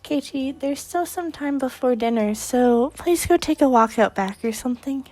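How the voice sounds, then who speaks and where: Protective, coaxing mother, acted by a woman, raised pitch, story drama with background music. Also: lively intonation, moderate pace, a little mellow, no echo. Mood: explaining, coaxing. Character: protective, soft-spoken mother of a teenage boy.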